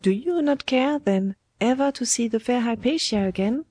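Lady reader doing a scheming old woman from a storybook, taunting and bossy, wheedles, higher voice, very dry sound. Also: lively intonation, moderate pace, mellow, husky, dry recording. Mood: imperious, coaxing. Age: older. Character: sly, mocking, domineering old woman schemer.

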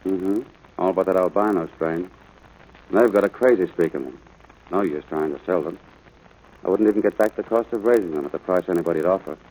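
An actor playing a stern, worn-out rancher father, gruff but caring, in 1940s radio drama style. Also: deep voice, fairly quick, a little mellow. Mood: weary, gruff. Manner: gruff, exasperated. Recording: noisy old radio broadcast.

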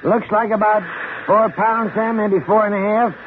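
Man as high-pitched teasing folksy radio sidekick. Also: fairly even intonation, moderate pace, lightly acted. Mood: skeptical, nagging. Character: skeptical, teasing folksy sidekick, needling an older friend. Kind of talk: 1950s radio drama acting. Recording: noisy, narrow-band 1950s radio recording.

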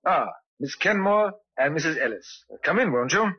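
A firm police inspector questions with formal courtesy. The voice is slightly high and rough-edged. It sounds like a dull old radio play. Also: male voice, lively intonation, moderate pace. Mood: firm, polite. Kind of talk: old-time radio drama acting.

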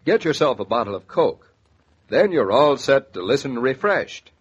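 A man with a husky voice reading a scripted 1940s radio commercial as the announcer. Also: mid-range voice, sing-song, moderate pace. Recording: dull vintage 1940s radio recording.

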